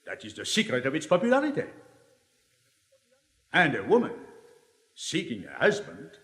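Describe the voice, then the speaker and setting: Suave cynical libertine, lively swinging intonation, declamatory 1950s stage drama, long hall reverb. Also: male voice, a little high, vocal fry, heavily acted. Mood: emphatic, cynical. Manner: rhetorical, deliberate, declamatory. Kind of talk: 1950s theatrical dramatic reading.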